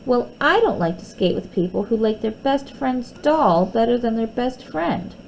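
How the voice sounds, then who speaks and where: A woman's voice: on the high side, lively intonation, normal speed, creaky, a little mellow, a little hiss or hum, pitched above the performer's own voice, poor audio. A woman voicing a bossy, quarrelsome young girl from a children's storybook, with emphatic delivery. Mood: indignant.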